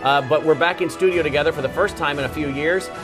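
Guy hosting a studio tv show, kinda high voice, music bed behind. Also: fast. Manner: friendly, emphatic introductions. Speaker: TV show host.

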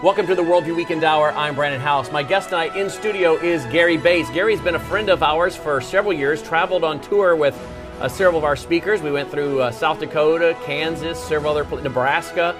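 A man's voice: fairly high pitch, brisk, over music. A man hosting a studio talk show, with emphatic, friendly introductions. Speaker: TV show host.

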